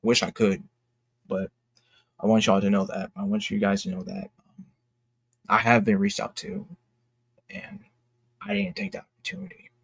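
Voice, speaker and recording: A young man talking casually and candidly to his fans in a halting, reflective, earnest way, as an independent creator. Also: lowish voice, expressive, creaky, a bit bright, breathy edge, no room sound. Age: young adult. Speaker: indie comic creator and vlogger.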